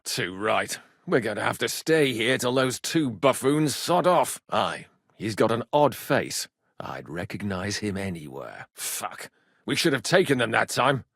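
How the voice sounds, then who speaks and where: A man voicing a gruff, sardonic, hard-drinking medieval mercenary in a video game, with a blunt, earthy, foul-mouthed way of talking. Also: on the low side, fairly bright tone, dry recording, clean studio sound, exaggerated voice. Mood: dry, grumbling. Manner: earthy, blunt, swearing, wry. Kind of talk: video game character dialogue.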